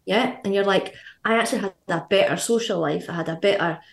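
A woman in her thirties with a Scottish accent, chatting as a guest on a podcast, quick and full of fillers. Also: medium pitch, vocal fry, reverberant. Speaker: guest, fitness coach. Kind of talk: casual podcast interview.

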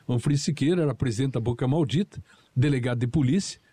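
A man telling an anecdote in a studio chat, halting and repeating words as he goes. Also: mid-range voice, mellow, breathy edge, no room sound. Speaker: studio guest.

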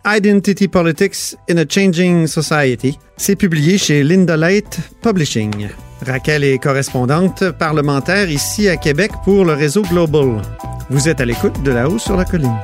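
A man's voice: fairly high pitch, lively intonation, moderate pace, faint music behind. A man hosting a radio interview, asking probing questions and interjecting often. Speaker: radio host.

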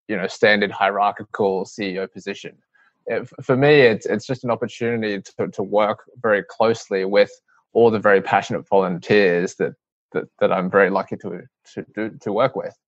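Earnest young Australian man, low voice. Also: no echo. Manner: earnest, measured, with frequent restarts and "um"s. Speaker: guest, CEO of a youth-led charity. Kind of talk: interview answers. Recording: dry, slightly muffled audio.

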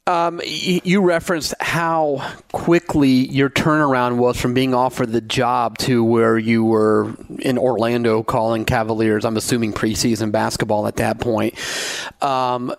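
Man, American accent, mid-pitched voice, conversational interviewer, dry room-free sound. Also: little pitch movement, a little slow, gravelly, no room sound. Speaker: interviewer, host.

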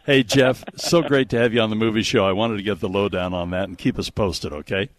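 A man's voice: on the low side, brisk. A man hosting a live radio talk show, chatting and promoting in an effusive, easily amazed way on a studio mic. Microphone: close studio radio microphone.

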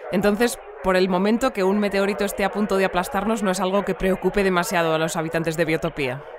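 An actress playing a formal, measured, unflappable radio newsreader in an audio drama. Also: female voice, mid-range voice, fairly even intonation, a crowd in the background, natural voice. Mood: puzzled, composed. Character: composed female radio newsreader of a sci-fi community. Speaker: news anchor. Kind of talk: scripted news bulletin, fiction podcast. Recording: dry studio with music bed.

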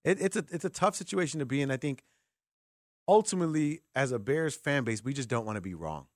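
A man's voice: medium pitch, a little fast, dry recording. Casual male sports radio host, dry studio sound. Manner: animated storytelling with acted-out dialogue. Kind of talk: casual chatty radio talk.

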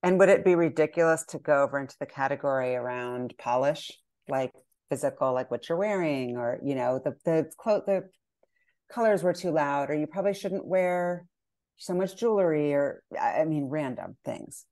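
Low-voiced curious woman interviewer. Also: normal speed, a little mellow. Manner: curious, rambling questions. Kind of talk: conversational interview.

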